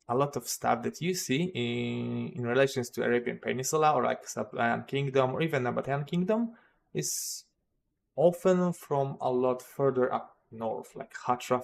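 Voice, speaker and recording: Polish-accented male streamer, mid-pitched and a bit raspy with fry, hesitant, meandering delivery. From Poland. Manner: rambling, hesitant, many fillers and restarts. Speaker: modding livestream host. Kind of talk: casual livestream talk.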